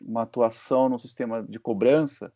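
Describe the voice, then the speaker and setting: A Brazilian man hosts the talk in an easy, conversational way and often checks that the other person is following. His voice sits at a middle pitch. The audio is dull and lo-fi. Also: steady tone, a little fast, mellow. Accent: Brazilian Portuguese. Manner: conversational storytelling, checks in with "né?" and "certo?". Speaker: host and physician. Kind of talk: casual interview chat. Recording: muffled lo-fi audio.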